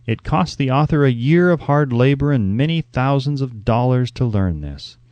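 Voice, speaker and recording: A man's voice: mid-range voice, expressive, normal speed, darkish tone, dry recording, muffled recording. A man reading a scripted audiobook as narrator, with an emphatic, instructive delivery.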